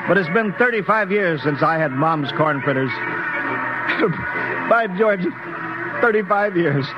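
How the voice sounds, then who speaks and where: Emphatic rocket captain, high-pitched voice. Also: male voice, lively intonation, slow pace. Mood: happy, nostalgic. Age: middle-aged. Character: commanding rocket captain, homesick grown son reunited with family. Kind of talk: 1950s radio drama acting. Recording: dull old radio broadcast, loud music behind.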